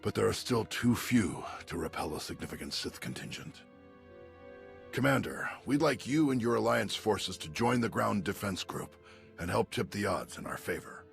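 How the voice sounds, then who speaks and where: An actor playing a grave Jedi master in a video game, creaky-voiced, formal and measured. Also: male voice, lowish voice, expressive, faint music behind. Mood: solemn.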